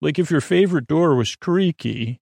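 Male sleep-podcast host: gravelly, creaky, close and dry, meandering and hesitant, soothing bedtime delivery. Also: on the high side, expressive, normal speed, darkish tone, dry recording. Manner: meandering, hesitant, self-interrupting asides. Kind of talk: rambling, soothing bedtime monologue.

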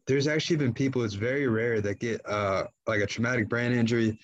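A man's voice: medium pitch, steady tone, fairly quick, no room sound, highs cut off. A young man co-hosting a casual podcast, laid-back and drawling, often calling his friend "dude". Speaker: podcast co-host. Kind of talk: casual chat.

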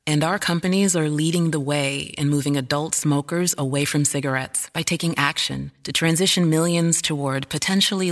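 Male commercial announcer, fairly high with a creaky edge, polished and earnest corporate read.

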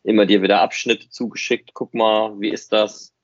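A man with a deep voice talks casually as a podcast co-host. He explains things informally, often ending on a tag question. The sound is dry and a touch dull. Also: level tone, normal speed, no room sound. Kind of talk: casual podcast chat about a hobby project.

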